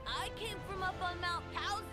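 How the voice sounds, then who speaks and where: A woman's voice: very high-pitched, moderate pace. A woman voicing a spirited, earnest young boy martial arts hero in a cartoon fighting video game, calling out battle lines. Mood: plucky, determined. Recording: game audio under loud music.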